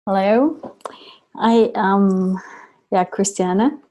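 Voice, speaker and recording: Woman from Denmark, measured and mellow, video call. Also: medium pitch. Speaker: course participant. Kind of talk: self-introduction on a group video call.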